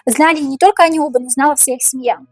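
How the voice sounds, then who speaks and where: Tarot reader, woman, high voice going hoarse, dry close sound, mulls things over aloud. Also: dry recording, soft background music. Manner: repeats each question slowly, muses over the cards. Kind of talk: tarot reading to camera, thinking aloud.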